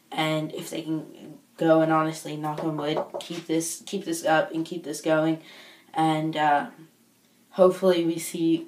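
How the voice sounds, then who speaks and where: Woman w/ low worn-out sick voice, rambling vlog chat, kinda hesitant, echoey room far from mic. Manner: rambling, hesitant, searching for words. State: recovering lost voice after being sick. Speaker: video blogger, football fan. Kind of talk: casual unscripted vlog chat. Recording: echoey room, distant microphone.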